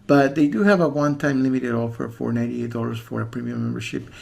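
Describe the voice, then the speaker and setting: Guy with a creaky gravelly voice, kinda halting, doing an off the cuff review, repeats stuff to make his point. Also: medium pitch, steady tone, a little mellow. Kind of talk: casual spoken warning review with screen walkthrough.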